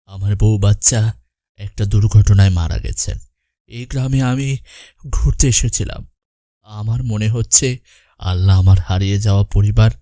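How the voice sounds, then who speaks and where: A man's voice: fairly low pitch, warm tone, no room sound, clean studio sound. A man voicing a kindly, grateful and pious widower in cartoon dialogue.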